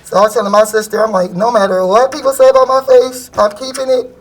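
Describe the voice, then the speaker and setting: A woman's voice: on the high side, little pitch movement, fast, rough recording. A young woman speaking frankly and casually in a panel discussion, using slang.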